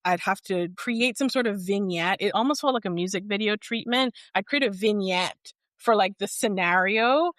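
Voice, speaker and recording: A woman's voice: mid-range voice, moderate pace, few pauses, fairly bright tone, dry recording, clean studio sound. A woman from Barbados in her thirties, a therapist guest on a podcast, speaking thoughtfully and articulately with lots of "like". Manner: articulate, thoughtful, heavy use of "like". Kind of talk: reflective conversational interview.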